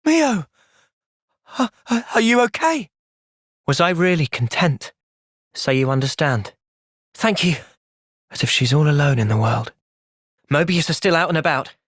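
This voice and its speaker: A man playing a gentle, thoughtful young soldier hero in a video game, earnest and reflective in manner. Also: fairly high pitch, big pitch swings, a little slow, pauses often, no room sound, studio quality, exaggerated voice. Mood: alarmed, then calm, earnest. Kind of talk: video game cutscene voice acting.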